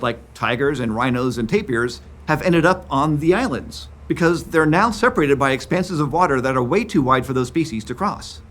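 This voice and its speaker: A man in his fifties giving scripted, clear documentary narration about science. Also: mid-range voice, fairly bright tone. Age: 50s. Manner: clear, explanatory.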